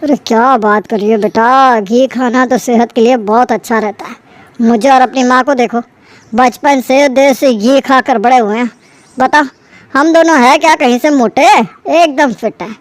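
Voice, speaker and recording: A woman's voice: fairly high pitch, moderate pace, dry recording, natural voice. A woman voicing a jovial middle-aged father who loves rich food and talks heartily. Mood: reassuring.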